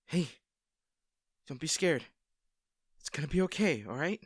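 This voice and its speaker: Slow-paced, caring young rescuer played by a man. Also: fairly high pitch, lively intonation, breathy edge, dry recording, studio quality. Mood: worried, reassuring. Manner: gentle, reassuring, hesitant. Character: Matthew, kind, worried young male rescuer at crash. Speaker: voice actor in roleplay audio. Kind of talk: acted first-person roleplay audio drama.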